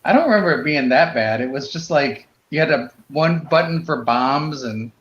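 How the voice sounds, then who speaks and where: A man's voice: on the high side, lively intonation, normal speed. Middle-aged man in a roomy, muffled recording. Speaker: podcast co-host. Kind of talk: casual chat. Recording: roomy, slightly muffled sound.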